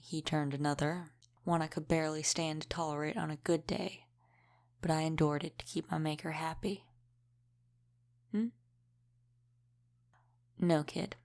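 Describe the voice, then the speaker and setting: Centuries-old female vampire mentor, played by a woman: low register, guarded, measured, dryly matter-of-fact. Audio roleplay. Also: darkish tone. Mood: nostalgic, wistful, then subdued, resigned. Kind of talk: scripted audio roleplay, addressed to the listener.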